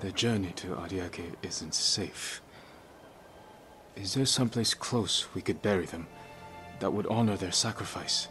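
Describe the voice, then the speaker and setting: An actor playing an honourable samurai lord in a game, stoic and solemn, with restrained, measured speech. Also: male voice, low-pitched, fairly bright tone, soft background music. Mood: quiet resolve. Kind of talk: dramatic game acting.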